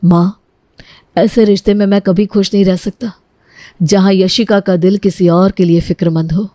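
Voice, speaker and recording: A woman voicing a selfless, solemn husband and elder brother in a moral story cartoon, speaking in an earnest, measured way. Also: mid-range voice, steady tone, dark tone, no echo, clean studio sound, natural voice. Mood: solemn, resolved. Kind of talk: acted dialogue in Hindi moral story cartoon.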